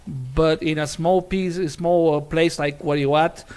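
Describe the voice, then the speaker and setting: A man, a pianist on a jury panel, giving critique in a halting way with frequent restarts. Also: fairly high pitch, fairly even intonation, a little mellow, clear, resonant tone. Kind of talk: spoken critique.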